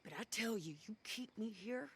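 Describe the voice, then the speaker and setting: An actress playing a fiery, defiant frontier widow turned outlaw in a video game, with an American accent and a sharp-tongued, sarcastic delivery. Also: female voice, fairly high pitch, expressive, moderate pace, slightly rough, exaggerated voice. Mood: angry, defiant. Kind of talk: dramatic video game cutscene acting.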